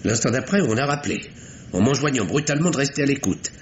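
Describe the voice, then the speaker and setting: A man's voice: mid-range voice, lively intonation, fast, lightly acted. An actor playing a nervous, courteous bourgeois man in a 1950s French radio mystery, formal and precise but slightly flustered. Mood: earnest, indignant. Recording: faintly noisy vintage radio recording.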